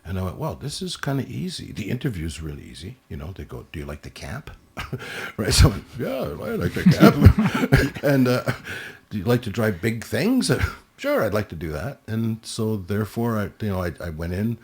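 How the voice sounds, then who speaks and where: A man's voice: on the low side, moderate pace, breathy edge. A middle-aged French Canadian man, a guest telling stories with lots of laughter in a casual podcast chat. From Montreal, Quebec. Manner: animated storyteller who laughs a lot.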